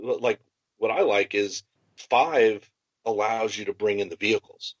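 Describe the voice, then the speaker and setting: A man's voice: lowish voice, a little slow, no echo, dull audio. A man co-hosting a podcast, explaining tabletop gaming options enthusiastically in casual chat. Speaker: podcast co-host.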